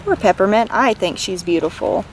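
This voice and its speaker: A woman reading a storybook aloud to children in an expressive, gentle way, as a read-aloud host. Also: mid-range voice, moderate pace, slight background noise, rough recording.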